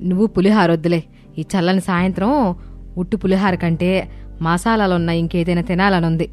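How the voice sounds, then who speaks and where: A woman playing an older, domineering, nagging mother-in-law in an animated story, scornful and complaining. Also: lowish voice, fairly quick, lightly acted. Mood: irritated, demanding. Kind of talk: animated moral story dialogue. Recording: voice over prominent music bed.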